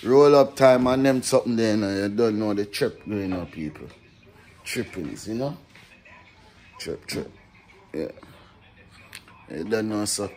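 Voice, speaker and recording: A man's voice: on the low side, a little slow, pauses often, a little mellow, low-quality recording. A young man with a Caribbean accent chatting casually and slangily to his vlog, repeating catchphrases. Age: young adult. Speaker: vlogger. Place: hotel room and outdoor walkway. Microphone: phone at arm's length. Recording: handheld phone with faint background noise.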